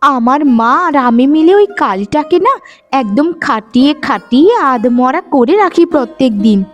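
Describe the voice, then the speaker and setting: A woman plays a spiteful young sister-in-law from a moral-story cartoon. She has a high, haughty voice and sneers cruelly as she taunts, with soft music underneath. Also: expressive, normal speed. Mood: disgusted, gloating.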